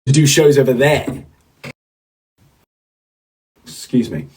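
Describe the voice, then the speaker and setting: A man's voice: medium pitch, slow pace, husky. Chatty man rambling on a livestream. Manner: rambling, chatty, many fillers and restarts. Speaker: podcast host and singer. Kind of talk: casual livestream chat, reading the chat.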